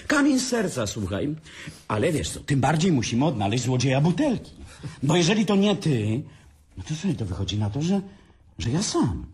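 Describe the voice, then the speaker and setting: A man playing a teasing, husky-voiced drinker. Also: medium pitch, normal speed, full character voice. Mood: mischievous. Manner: teasing, playful, lively. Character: cheeky hard-drinking man, joking, scheming. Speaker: brother, Adam. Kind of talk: amateur radio drama acting.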